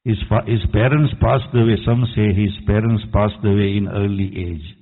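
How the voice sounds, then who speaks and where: A man's voice: fairly low pitch, steady tone, moderate pace. A man preaching an earnest, admonishing sermon as imam, quoting Arabic verses. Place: mosque hall. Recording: tinny echoey hall recording.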